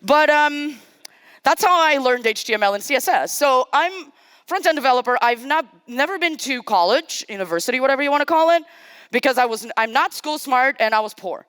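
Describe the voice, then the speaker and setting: Woman, young adult, tech conference speaker, fairly high voice, crisp, self-mocking humor. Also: lively intonation, a little fast, fairly bright tone, no room sound. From Portugal. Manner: casual, self-deprecating, joking. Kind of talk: live-coding tech talk. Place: conference stage.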